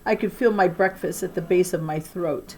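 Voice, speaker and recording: A woman reading a children's novel aloud as an expressive narrator, with deliberate, emphatic phrasing. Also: on the low side, slight background noise, rough recording. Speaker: audiobook-style reader of a children's novel.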